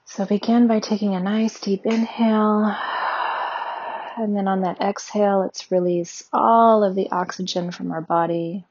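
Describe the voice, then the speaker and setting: A woman leading a guided meditation with slow, soothing, gentle instructions. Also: mid-range voice, fairly even intonation, muffled recording.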